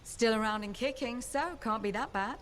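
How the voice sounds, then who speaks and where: An actress playing a wry, shrewd, tough bartender in a video game, with a blunt manner. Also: female voice, a little high, moderate pace, fairly bright tone, a little hiss or hum, lightly acted. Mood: businesslike. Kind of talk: video game character dialogue.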